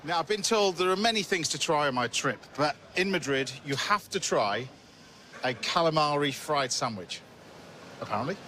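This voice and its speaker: A man's voice: fairly high pitch, animated, normal speed, pushed and bright, soft background music. A man, a chef and TV host, talking casually and enthusiastically to camera about food while out on a city street. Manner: chatty, enthusiastic, food-focused asides. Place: busy city street outdoors. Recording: outdoor location sound with street noise.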